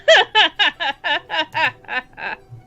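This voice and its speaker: A woman's voice: very high-pitched, lively intonation, a bit bright, soft background music, heavily acted. A woman playing a haughty, sinister and lonely alien noblewoman in a narrated story, speaking in a formal, theatrical, self-pitying manner. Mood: hysterical amusement. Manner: slow, theatrical, formal speech. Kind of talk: dramatic character acting in narrated story.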